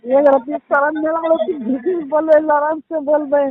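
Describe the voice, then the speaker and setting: A woman's voice: sits high, steady tone, moderate pace, a little hiss or hum. A middle-aged woman, scolding and reproachful in a heated phone conversation, often repeating herself. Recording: tinny narrowband phone call recording.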